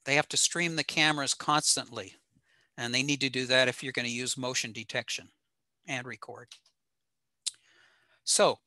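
A man with a bright, forward voice gives an informal technical presentation. His delivery is plain-spoken and matter-of-fact. The sound is dry and close, with very little room in it. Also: medium pitch, no room sound. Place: indoor home room, over a video call.

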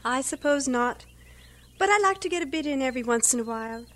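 An actress playing a brisk, motherly English missionary schoolmistress in an audio drama, matter-of-fact and homely. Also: high voice, animated. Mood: brisk, friendly.

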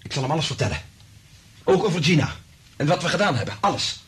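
Earnest, husky male scientist. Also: on the high side, very animated, fast talker, full character voice. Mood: urgent, determined. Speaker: actor in a radio play. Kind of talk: Dutch radio drama acting.

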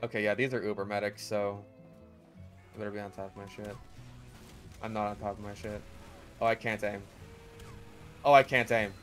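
A man giving joking gameplay commentary, sarcastic, swearing often, swinging between low deadpan mumbles and loud outbursts. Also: moderate pace, pauses often, faint music behind. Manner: swears often, riffs on memes and cartoon bits. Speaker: gaming channel host.

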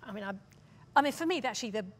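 A British woman in her sixties speaking thoughtfully on a discussion panel, with a measured, wry, reflective manner. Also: on the high side, lively intonation. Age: 60s. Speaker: panelist, drama scholar. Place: stage panel before dark curtains, indoors.

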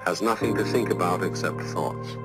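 A man giving a measured, reflective philosophical lecture, heard over music. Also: low-pitched, low-quality recording. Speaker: lecturer heard in an inserted recording. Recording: heavy new-age music underneath. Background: new-age music.

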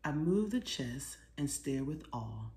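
Low, mellow woman reading a storybook. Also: slow. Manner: expressive, asks listeners questions. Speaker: children's author reading her own book. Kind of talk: storytime read-aloud to children, with asides. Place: small living room, seated on a sofa. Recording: roomy indoor echo.

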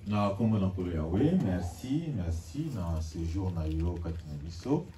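Man, low dark voice, formal church announcements, picked up from afar with faint hiss. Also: expressive. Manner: measured, formal, leads call-and-response. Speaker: church officiant giving announcements. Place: church hall during a service. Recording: distant mic with faint noise.

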